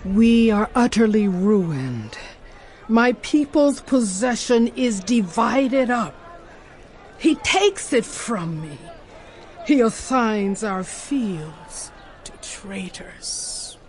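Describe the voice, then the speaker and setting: A woman's voice: fairly high pitch, animated, extremely slow, a little mellow. A woman playing a plaintive, ruined woman of ancient Israel in a dramatized audio Bible. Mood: lamenting.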